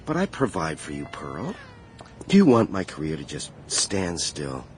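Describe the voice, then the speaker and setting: An actor playing a flamboyant, ambitious travelling salesman in a period radio drama, self-assured and flattering. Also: a bass voice, unhurried, a little hiss or hum, exaggerated voice. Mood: defensive. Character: Beck Tull, flamboyant, ambitious travelling salesman, smooth-talking suitor.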